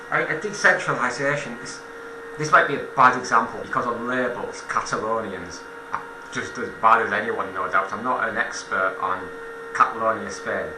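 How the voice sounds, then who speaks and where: A man giving an informal political monologue as a commentator, halting and self-correcting, repeating phrases for emphasis. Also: on the low side, reverberant, background noise.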